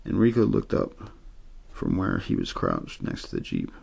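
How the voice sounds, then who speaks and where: A man reading a story aloud in a low-key, untheatrical ASMR style, with a gravelly, husky voice. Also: wildly swooping pitch, moderate pace, darkish tone. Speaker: audiobook-style story reader. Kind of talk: ASMR bedtime reading of a horror novel.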